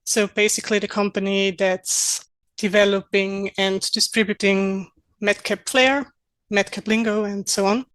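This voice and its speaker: A woman's voice: mid-range voice, level tone, unhurried, fairly bright tone, no room sound, low-quality recording. A young woman from Austria whose first language is German, speaking English as an interview guest and explaining her work in an earnest, methodical way. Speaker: podcast guest, localization project manager.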